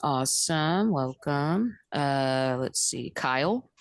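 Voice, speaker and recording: A woman's voice: low-pitched, lively intonation, no room sound, clean studio sound. A woman hosting a dating show call, calling on guests by name and laughing between answers. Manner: brisk, calls names, laughs often.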